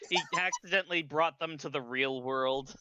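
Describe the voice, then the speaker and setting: A man's voice: fairly high pitch, normal speed, bright, forceful tone, clear, resonant tone, no room sound. A man chatting casually as a guest on a stream, over a voice call. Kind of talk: casual chat.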